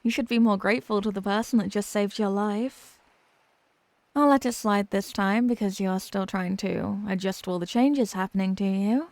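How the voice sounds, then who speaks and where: A woman's voice: mid-range voice, moderate pace, a little mellow, no echo, natural voice. A woman playing an obsessive, haughty young mermaid princess in a roleplay ASMR drama, sweetly smug and condescending. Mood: possessive, indignant.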